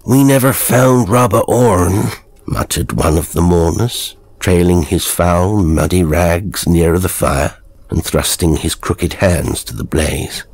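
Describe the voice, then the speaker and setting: A man's voice: low-pitched, steady tone, a little slow, darkish tone, slightly rough, dry recording. A man narrating a horror story in a grave, theatrical, dramatic scripted style.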